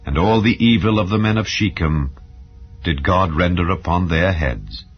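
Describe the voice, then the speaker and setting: A man reading scripture as a solemn, measured narrator in a dramatized style. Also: low voice, lively intonation, slightly rough, soft background music. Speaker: narrator of a dramatized audio Bible. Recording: dull, muffled lo-fi sound.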